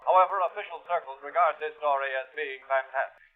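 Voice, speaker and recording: An actor playing a formal, declamatory announcer and newscaster in 1940s radio style. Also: male voice, on the high side, fairly quick, close to an everyday voice. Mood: brisk, formal. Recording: tinny vintage radio recording, music behind.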